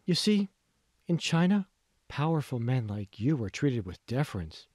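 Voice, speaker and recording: A man voicing a polite, deferential young Chinese woman in an audiobook, breathy and careful. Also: very animated, normal speed, a little mellow, dry recording, studio quality. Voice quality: breathy, high-pitched. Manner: demure, careful.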